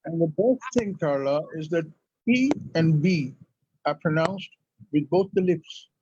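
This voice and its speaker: A man's voice: fairly high pitch, unhurried, warm tone, slightly rough, no room sound. A man from India whose first language is Urdu, telling stories as a guest in a conversational interview. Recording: lo-fi, dull sound.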